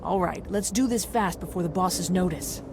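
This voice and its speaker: A woman's voice: fairly low pitch, normal speed, gravelly, background noise. An actress playing a kindly female camp medic in a video game, soothing and caring.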